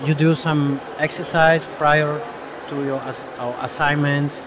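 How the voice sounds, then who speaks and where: A man's voice: fairly high pitch, fairly even intonation, unhurried, noisy background. A man whose first language is Slovak, asking eager questions as an interviewer in non-native English.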